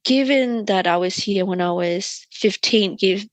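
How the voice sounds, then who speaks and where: A woman's voice: medium pitch, unhurried, no echo. A young adult woman from Myanmar with an Australian accent, telling stories as a guest in a casual interview. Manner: animated storytelling, laughing often.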